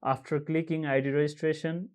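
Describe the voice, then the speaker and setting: A man from Nepal walking viewers through an online form step by step, pausing often with uh and um as he reads out codes. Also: on the high side, little pitch movement. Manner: halting, frequent uh and um fillers, reads out codes slowly. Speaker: tutorial presenter. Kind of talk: screen-recorded how-to walkthrough.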